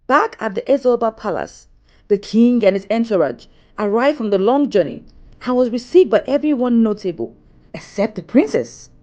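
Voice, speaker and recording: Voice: medium pitch, animated, moderate pace, a little mellow, lo-fi sound. A narrator telling a scripted folktale in a solemn, theatrical way.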